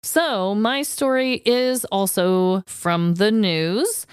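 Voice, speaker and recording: A woman's voice: medium pitch, drawn-out words, clear, resonant tone, dry recording, clean studio sound. A woman co-hosting a comedy podcast, moving between casual chat and scripted storytelling. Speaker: podcast co-host.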